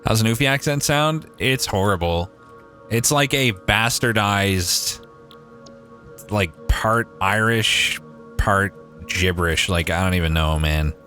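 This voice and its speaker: A man's voice: sits low, a bit bright. A young Canadian man with a Maritime accent, thinking aloud and swearing casually as he streams a game. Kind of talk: casual gameplay livestream chat.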